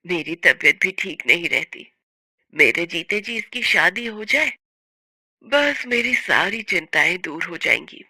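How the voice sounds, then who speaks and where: Woman playing a weary, worried mother, bright tone. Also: on the low side, lively intonation, a little slow, slight background noise, heavily acted. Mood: weary worry. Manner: weary, resigned, plaintive. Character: ailing, worried poor mother of a marriageable daughter. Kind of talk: family drama story acting.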